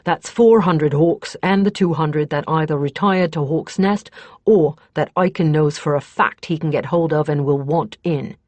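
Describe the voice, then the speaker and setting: A woman voicing a gruff, practical male mercenary in an audiobook, with a blunt, skeptical manner. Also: low-pitched, moderate pace, a little mellow, no echo. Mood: grimly satisfied. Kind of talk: audiobook character dialogue.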